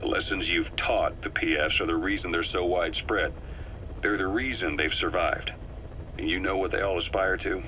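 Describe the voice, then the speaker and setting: A man playing a hardened, wry mercenary officer giving a deliberate, emphatic briefing in a video game. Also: low-pitched, fairly even intonation, pushed and bright, slight background noise, poor audio. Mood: grave, pointed.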